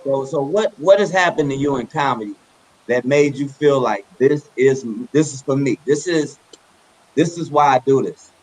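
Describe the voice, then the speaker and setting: A man's voice: medium pitch, moderate pace, rough recording. A man hosting a comedy talk show, casually introducing guests and asking them interview questions. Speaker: host.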